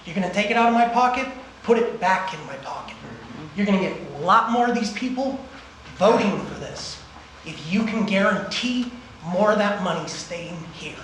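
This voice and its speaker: A man's voice: sits high, expressive, moderate pace, a bit bright, echoey room, slight background noise, rough recording. A man giving a direct, earnest public comment at a community meeting in a county meeting room. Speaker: community member asking a question.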